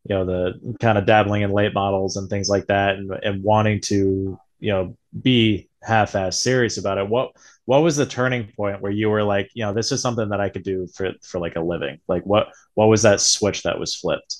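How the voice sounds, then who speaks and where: A man's voice: fairly low pitch, fairly even intonation, normal speed. A man asking questions as an interviewer in an informal, loosely worded, conversational way.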